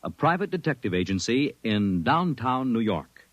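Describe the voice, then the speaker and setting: A man's voice: medium pitch, big pitch swings, moderate pace, dry recording. A man announcing and narrating in a solemn, dramatic 1950s radio style, reading from a script. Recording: dull vintage radio with music. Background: dramatic music.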